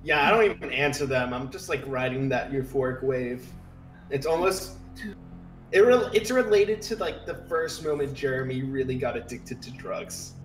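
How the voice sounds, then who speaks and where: A man's voice: medium pitch, expressive, moderate pace. A man playing a tabletop game, describing his character's actions in a loose, hesitant, conversational way. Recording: roomy echo, distant mic, faint music.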